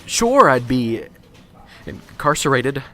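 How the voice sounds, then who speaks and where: A man's voice: medium pitch, sing-song, slow, a bit bright. A man voicing a nervous, unsure male professor in an amateur radio drama, stammering and hesitant. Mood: jittery. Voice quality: stammering, hesitant, fumbling for words. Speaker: professor bringing a lead.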